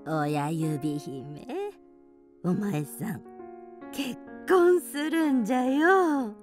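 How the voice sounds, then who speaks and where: A woman playing a bossy, scolding old grandmother field mouse in a radio drama, with drawn-out, folksy elderly speech. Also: medium pitch, music in the background. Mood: pleased, coaxing.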